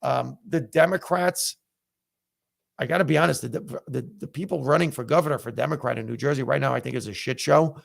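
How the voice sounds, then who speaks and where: A man's voice: medium pitch, brisk, creaky. A middle-aged man whose first language is Italian, hosting a live podcast and giving candid opinions in a casual, conversational way with plenty of fillers. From United States. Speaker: comedian and podcast host. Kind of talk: casual live-stream chat.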